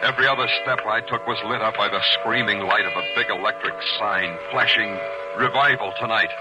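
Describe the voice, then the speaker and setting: Actor as deep hard-boiled radio detective. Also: male voice, brisk, over loud music, lightly acted. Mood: brooding narration. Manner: clipped, hard-boiled, sarcastic. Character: tough, sardonic male police detective narrating his case. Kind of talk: 1940s hard-boiled radio drama acting and narration. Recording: very noisy, crackly 1940s radio recording.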